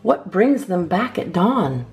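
A low-voiced woman reads poems aloud to children with theatrical emphasis. Her intonation swings widely and her voice has a creaky edge. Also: big pitch swings, slow pace, darkish tone, soft background music. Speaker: storytime host reading a picture book.

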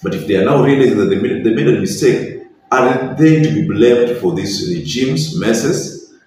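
A man's voice: lowish voice, darkish tone, slight background noise. A young man with a Kenyan accent giving earnest, opinionated political commentary to camera from a small bedroom, slipping in Swahili phrases. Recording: very echoey room, slightly distant mic.

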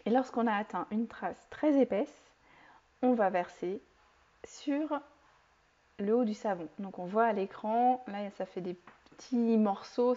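Calm woman teaching soap making. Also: mid-range voice. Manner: clear, calm instructional tone with occasional fillers. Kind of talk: step-by-step tutorial explanation. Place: home kitchen, indoors. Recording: slightly dull lo-fi sound.

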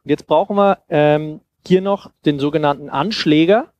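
A man in his thirties giving an informal demonstration talk into a headset mic, explaining haltingly and thinking aloud. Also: on the high side, a little mellow, no echo. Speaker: conference speaker on sound design. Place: seated on a sofa in a festival room. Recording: clear background noise and ambience.

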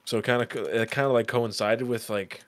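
A man's voice: medium pitch, steady tone, brisk, fairly bright tone. A man in his thirties, a livestreamer chatting casually with friends at home, rambling and swearing with plenty of laughs, on a headset and desk mic. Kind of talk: casual chat with friends while gaming. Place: home room, indoors.

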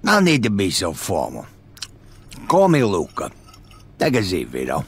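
An actor playing a loud, middle-aged American mobster with an East Coast city accent, husky, chummy but menacing. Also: male voice, low voice, wildly swooping pitch, a little slow, full character voice. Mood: jovial, brash. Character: loud, profane middle-aged male mobster recruiting killers.

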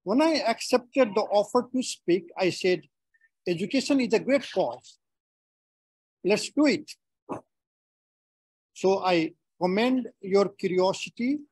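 A middle-aged man from Nepal with a Nepali accent gives a prepared webinar talk in a measured, phrase-by-phrase delivery. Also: high voice, lively intonation, darkish tone, slightly rough, no room sound, rough recording. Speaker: webinar speaker.

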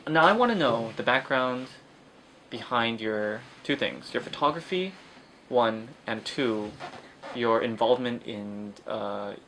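A young man in his twenties hosts a casual interview from a small room. His voice is mid-pitched, and his questions keep turning into teasing jokes. A faint ambient hum runs underneath. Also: a little slow, a bit bright. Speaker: interview host. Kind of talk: casual chat. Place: small indoor room with computers.

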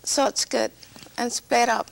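Gravelly, halting woman from Vietnam. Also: fairly high pitch, steady tone, slight background noise, poor audio. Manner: halting non-native English with broken grammar. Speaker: interview guest. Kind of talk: personal life story in conversation. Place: small TV studio set.

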